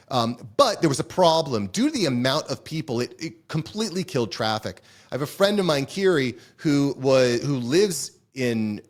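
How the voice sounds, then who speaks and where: Male vlogger, casual review, mid-pitched voice, conversational with restarts. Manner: chatty, conversational, frequent fillers and restarts. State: a little hoarse. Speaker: business vlogger. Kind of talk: casual talking-head review.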